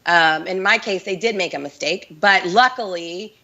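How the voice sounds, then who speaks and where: A woman in her thirties with a Texan accent, hoarse from the flu, talking casually with many fillers as a guest on a video call. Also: lowish voice, normal speed, pushed and bright, dull audio. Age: 30s. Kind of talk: casual remote interview.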